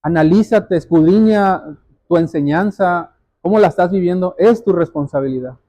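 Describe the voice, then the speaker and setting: A male pastor delivers a sermon in a high, mellow voice. He exhorts his listeners earnestly and directly, circling back to key phrases to stress them. The sound is dry, with very little room around it. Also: normal speed, no room sound. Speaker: pastor preaching to a congregation.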